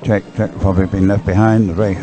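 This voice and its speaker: A man's voice: low-pitched, little pitch movement, quick pace, over music. A man giving live sports commentary in a rambling, hesitant way, as if thinking aloud.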